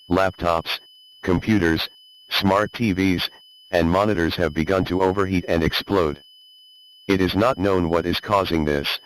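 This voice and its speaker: A man's voice: low voice, slight background noise, lightly acted, poor audio. A man voicing an impersonal official announcer reading a scripted emergency alert in a formal manner.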